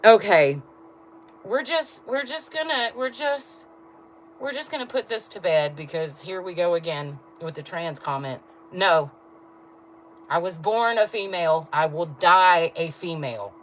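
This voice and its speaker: A woman with a low voice talks slowly and bluntly to her livestream chat, laughing as she goes. There is a faint hiss behind her. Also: poor audio. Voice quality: low voice register. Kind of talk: casual livestream chat with viewers.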